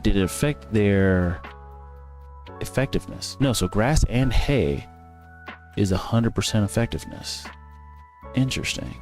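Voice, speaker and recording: A man's voice: medium pitch, darkish tone. A man giving casual, rambling commentary as he plays a game, thinking aloud and correcting himself. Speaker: gaming streamer.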